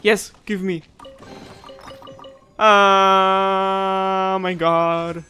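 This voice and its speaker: A woman's voice: medium pitch, completely flat delivery, drawn-out words, bright, forceful tone. A woman giving casual let's-play commentary as a gaming streamer, emotive and dramatic, with loose, sweary phrasing. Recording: game music underneath.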